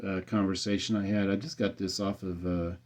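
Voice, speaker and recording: A man's voice: low voice, level tone, a little fast, darkish tone. A man with an American accent chatting in an easygoing, colloquial way as an amateur radio operator, speaking into a desk microphone. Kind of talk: casual radio chat. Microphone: USB desk condenser microphone. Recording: slightly dull desk microphone recording.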